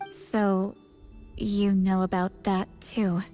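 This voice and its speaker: A woman's voice: mid-range voice, flat delivery, slow pace, warm tone, faint music behind, lightly acted. A woman voicing an anxious but determined young shaman in a video game, earnest and emphatic. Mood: grave, uneasy. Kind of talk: scripted video game voice acting.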